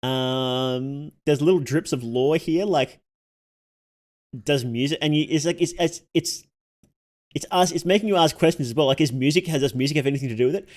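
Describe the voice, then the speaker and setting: A man's voice: a little high, moderate pace. A man hosting a livestream, reacting casually to videos in a rambling, informal, slangy way.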